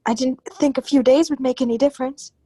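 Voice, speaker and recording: A woman's voice: high voice, fairly even intonation, quick pace, mellow, dry recording. A woman playing an anxious, secretive politician's wife in a radio melodrama, speaking hesitantly and breaking off her sentences. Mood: placating. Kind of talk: old-time radio drama acting.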